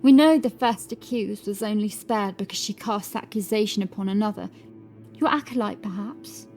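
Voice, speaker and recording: A woman's voice: medium pitch, normal speed, darkish tone, close to an everyday voice. A woman voices a medieval inquisitor in a horror tale, full of scorn. The delivery is mocking and accusatory, with music playing underneath. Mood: triumphant, accusing. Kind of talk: dramatised horror audiobook acting.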